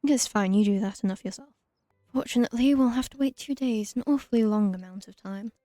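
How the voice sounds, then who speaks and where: A woman's voice: on the high side, fairly quick, mellow, no room sound. A woman giving casual let's play commentary in a reflective, wry manner. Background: faint game music.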